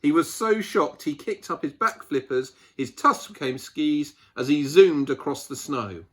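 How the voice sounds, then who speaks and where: A man reading a picture book aloud to children, expressively, with dramatic pauses and emphasis. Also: a little high, animated, fairly bright tone. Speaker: children's storyteller.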